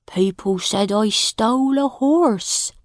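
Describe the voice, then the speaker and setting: Storybook: woman voicing a matter-of-fact prisoner, slow, dry. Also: fairly high pitch, no echo. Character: plain grown man, a prisoner telling his tale.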